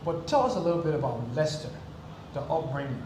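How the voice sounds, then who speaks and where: A man's voice: on the high side, expressive, a little fast. A man hosting a casual, conversational interview, asking his guest questions. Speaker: interviewer, host. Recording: roomy, very noisy, off-mic ambience.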